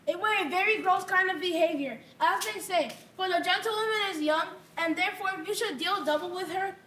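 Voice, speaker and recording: Bawdy old nurse for Shakespeare stage play female actor, piercingly high, theatrical, fussy; echoey, noisy recording. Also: a bit bright. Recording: echoey room with background noise.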